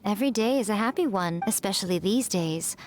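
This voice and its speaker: An actress playing a sly, elegant fox shrine maiden in a video game, poised and teasing. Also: female voice, medium pitch, expressive, brisk, soft background music, close to an everyday voice. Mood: playful, assured. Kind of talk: video game character dialogue.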